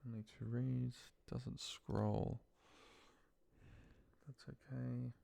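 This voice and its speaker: A man's voice: medium pitch, little pitch movement, very slow, creaky, warm tone. A man giving an informal, unscripted screen-share tutorial, sometimes muttering to himself as he works. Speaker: instructor demonstrating a spreadsheet task.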